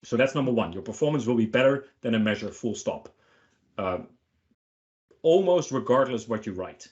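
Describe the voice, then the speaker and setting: Man, mid-pitched voice, casual tech presenter, joking and emphatic, dull lo-fi meeting audio. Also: expressive, normal speed, a little mellow. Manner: informal, joking, emphatic short phrases. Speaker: featured speaker answering questions. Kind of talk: casual online tech talk with Q&A.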